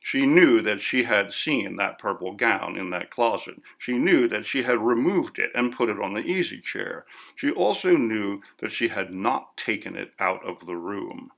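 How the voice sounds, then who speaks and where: A man reading a story as scripted narration in a measured, literary delivery. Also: lowish voice, expressive, gravelly, a bit bright. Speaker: audiobook narrator.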